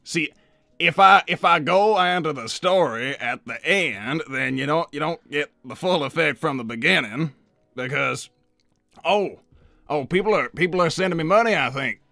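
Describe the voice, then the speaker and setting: A man voicing a folksy older cartoon hedgehog who sells hot dogs, talking in a halting, rambling, hesitant old-timer way. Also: fairly high pitch, lively intonation, vocal fry, sharp tone, no echo, clean studio sound, full character voice. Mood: bumbling, confused. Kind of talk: improvised in-character livestream roleplay.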